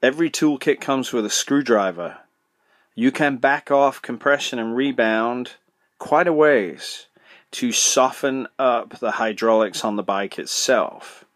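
A middle-aged podcast host giving practical, direct advice, often asking himself questions and then answering them. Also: male voice, mid-range voice, unhurried, no room sound, studio quality. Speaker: podcast host, motorcycle suspension tuner. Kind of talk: solo podcast advice talk.

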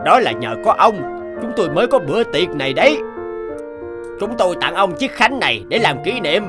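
A man voicing a jovial, gracious mouse king in an animated folktale, with a courtly, hearty manner. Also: sits high, normal speed. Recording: music behind the voice.

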